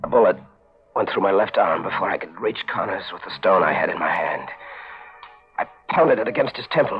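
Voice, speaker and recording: An actor playing a haunted fugitive who narrates his crimes in a halting, intense 1940s radio suspense style. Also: deep voice. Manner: dramatic, halting, intense delivery. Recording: dull old 1940s radio recording, organ music.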